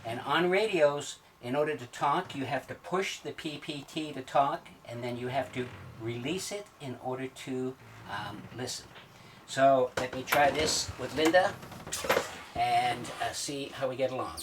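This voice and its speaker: A man in his sixties giving a step-by-step instructional demonstration in a plain, methodical way with hesitant pauses. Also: mid-range voice. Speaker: sailing instructor. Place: small wood-panelled sailboat cabin at the navigation station. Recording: distant mic, clear background noise.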